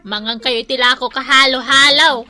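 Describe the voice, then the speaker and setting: A woman's voice: high-pitched, fairly even intonation, moderate pace, sharp tone, soft background music. A woman with an Ilocano Filipino accent playing a chatty, helpful snack vendor in a comic radio drama. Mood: friendly. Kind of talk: comedic radio drama acting.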